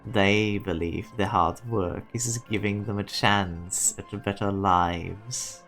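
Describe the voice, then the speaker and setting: A man playing an ambitious, proud self-made businessman, deliberate and speechifying, in a fan-dubbed game drama. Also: fairly low pitch, fairly even intonation, a bit bright, faint music behind. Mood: wistful, firm. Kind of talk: scripted fan-dub game drama monologue.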